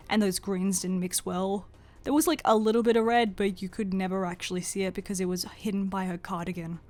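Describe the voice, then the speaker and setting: A young woman with a mid-pitched voice talks through scripted commentary in a casual way. Her delivery is chatty and informal, with a self-deprecating streak, like an online artist presenting their own work. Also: darkish tone. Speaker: artist and video creator.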